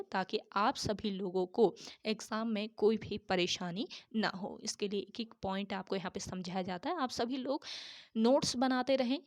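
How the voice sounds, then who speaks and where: Woman, exam tutor, sore throat, repeats answers slowly, dry close mic. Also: medium pitch, no room sound. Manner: repeats key answers slowly for students to note. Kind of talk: exam-prep lesson.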